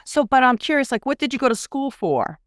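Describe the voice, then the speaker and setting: A woman hosts a podcast interview and asks her questions eagerly. Her voice sits fairly high, swings widely in pitch and sounds smooth and clear. The sound is close and dry. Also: sing-song, fairly quick, no room sound. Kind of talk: casual interview.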